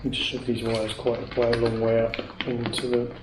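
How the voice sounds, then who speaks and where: A man's voice: medium pitch, monotone, fairly quick. A British man giving a casual hands-on product demonstration, chatty and matter-of-fact as he thinks aloud while working. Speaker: motorcycle gear reviewer. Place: indoors at home. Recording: echoey room with faint clicks and noise.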